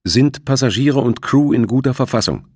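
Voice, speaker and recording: Audiobook role: man acting a calm male coast guard officer, official, raised husky voice. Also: mid-range voice, moderate pace, no echo, clean studio sound, voice pushed up. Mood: formal, composed. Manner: formal radio hailing, unexcited.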